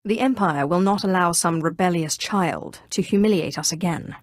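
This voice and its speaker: A woman playing an imperious, calculating Sith sorcerer in a video game, with a measured, haughty and coolly threatening delivery. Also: fairly low pitch, dry recording, natural voice. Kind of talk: scripted game character dialogue.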